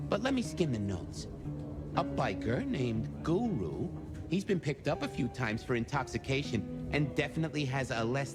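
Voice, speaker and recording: A man's voice: medium pitch, natural voice. An actor playing a flirtatious, theatrical male police records clerk in a video game, coy and playfully helpful, with music behind him. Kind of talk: video game dialogue acting.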